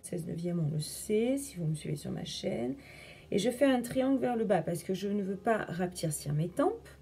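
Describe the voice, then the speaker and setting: A woman's voice: mid-range voice, lively intonation, normal speed, vocal fry, mellow, a little hiss or hum. A young woman chatting through a makeup tutorial, explaining each step as she works. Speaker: beauty vlogger.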